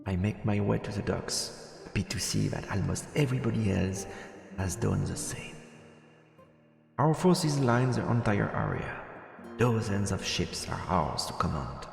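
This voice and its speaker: Slow, echoey male game streamer. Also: lowish voice, lively intonation, gravelly. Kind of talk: live let's play, reading visual novel text aloud with casual asides. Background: game music.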